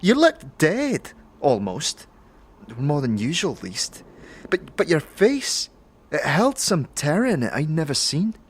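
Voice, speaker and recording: Lady doing a tough caring young woman, husky and slow, very expressive, fantasy audio drama. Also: deep voice, heavily acted. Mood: concerned.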